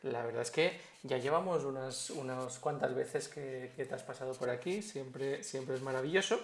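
Halting male podcast host. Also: mid-range voice. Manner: halting, repeats words, fillers. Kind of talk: casual interview hosting.

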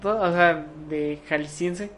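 A man's voice: high voice, slow pace, a bit bright, slight background noise. A man with a Mexican accent co-hosting a podcast in casual chat, teasing his friend and swearing casually. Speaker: podcast co-host.

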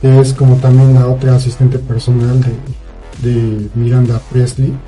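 Mellow, soft-toned Mexican man chatting. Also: mid-range voice, monotone, normal speed, soft background music, low-quality recording. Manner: rambling, colloquial, frequent tag questions. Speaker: podcast co-host. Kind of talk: casual chat, recounting a film plot.

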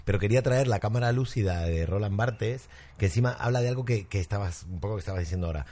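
Fast-talking Argentine man, mellow low voice. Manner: digressive, explanatory. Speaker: podcast co-host. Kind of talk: casual literary chat.

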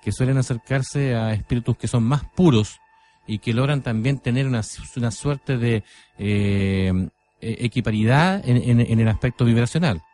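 A man with a Chilean accent telling stories unscripted on radio in a halting, deliberate way, repeating phrases. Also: medium pitch, mellow, slight background noise. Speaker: radio co-host and storyteller.

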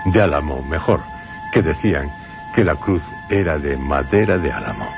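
A man's voice: a bass voice, lively intonation, normal speed, vocal fry, loud music behind the voice. A man with a Castilian Spanish accent presenting dramatized radio narration in a theatrical, questioning manner.

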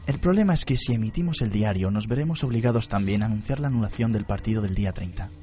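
An actor playing an unflappable, analytical detective in a radio drama, methodical and blunt. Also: male voice, on the low side, animated, fast, dark tone, faint music behind, lightly acted. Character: analytical, unflappable male detective briefing his team.

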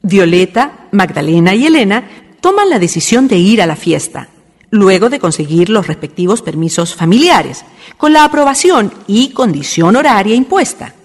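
A woman narrating a historical radio drama in a measured storytelling manner. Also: mid-range voice, very animated. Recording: radio narration over music. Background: background music.

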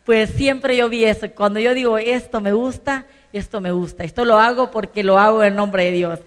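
A woman's voice: on the high side, steady tone, moderate pace. Older Salvadoran woman speaking in a large hall. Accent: Salvadoran Spanish. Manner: earnest, rising to emphatic bursts. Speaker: business conference speaker. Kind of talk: personal testimony speech. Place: large conference hall stage. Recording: long reverb of a large hall.

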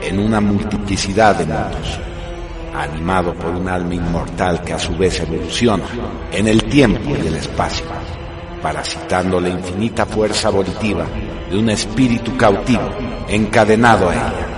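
Male narrator, lower register, solemn and epic radio drama delivery, clear music bed underneath. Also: moderate pace. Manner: grandiose, dramatic emphasis. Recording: music behind the voice.

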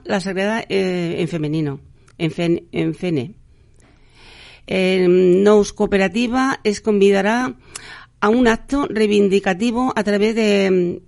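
Gravelly-voiced woman radio host. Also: medium pitch, a little mellow. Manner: chatty, halting, with frequent restarts and fillers. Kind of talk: live radio announcements.

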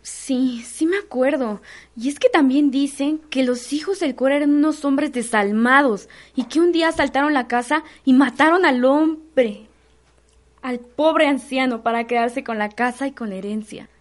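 Woman acting a high-voiced, fearful Mexican housemaid. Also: moderate pace, natural voice. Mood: grave, hushed. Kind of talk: student radio soap opera acting.

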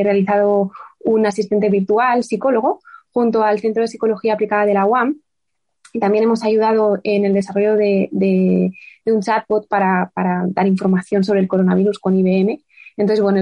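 A woman's voice: mid-range voice, warm tone, no room sound. A woman speaking in a casual interview, chatty and hesitant, with many fillers. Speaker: computational linguist guest. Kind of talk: conversational podcast interview.

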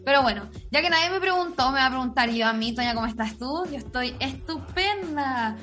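Young chilean woman, highish voice, slangy sweary podcast host chat, music behind. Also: lively intonation, brisk, fairly bright tone. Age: young adult. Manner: informal, slangy, swears freely. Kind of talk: casual chat among friends.

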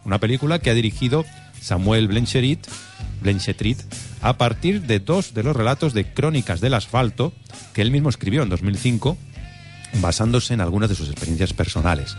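Fast-talking man hosting a radio film show. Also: on the low side. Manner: fast, joking, argues then reads synopses. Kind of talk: film review radio chat.

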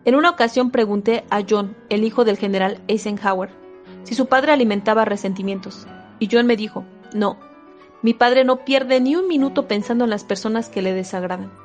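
A woman giving a steady, measured reading of a self-help book, with a few small slips and restarts. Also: on the high side, soft background music. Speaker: audiobook reader.

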